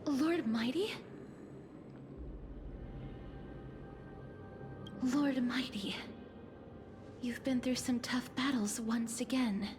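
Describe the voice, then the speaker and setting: Gentle, high-voiced young heroine. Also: female voice, slow pace, over music. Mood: concerned, reverent. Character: gentle, resolute young woman companion to a warrior. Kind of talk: dramatic video game voice acting.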